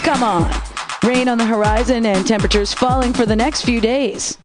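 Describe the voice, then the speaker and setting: A woman's voice: on the high side, fairly even intonation, normal speed, over loud music, close to an everyday voice. A woman playing a breezy female rock radio DJ, reading scripted station lines with a wry, playful announcer delivery.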